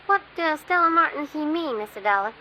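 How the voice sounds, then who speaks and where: High-pitched American hotel desk girl. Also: lively intonation, a little fast, sharp tone, rough recording. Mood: teasing, breezy. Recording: faint hiss on vintage radio recording.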